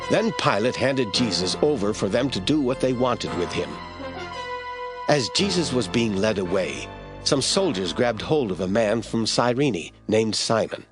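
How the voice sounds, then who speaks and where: Low-voiced male scripture narrator. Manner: steady, measured reading.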